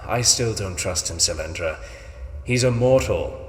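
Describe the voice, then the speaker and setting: A suspicious, scornful dusk elf vampire for a fantasy audio drama, speaking slowly with lively swings of pitch. His skeptical words ring through a reverberant hall. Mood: distrustful, scornful. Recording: echoey hall reverb, faint noise.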